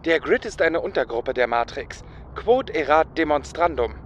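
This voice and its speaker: A man's voice: a little high, lively intonation, normal speed, highs cut off, lightly acted. A man playing a cocky, smart-alecky younger brother who is a computer whiz, in an audio drama. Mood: smug, teasing. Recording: slight background noise.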